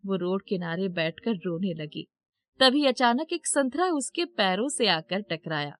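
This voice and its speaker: A woman's voice: medium pitch, very animated, measured pace. A woman storyteller reading scripted narration for a moral tale.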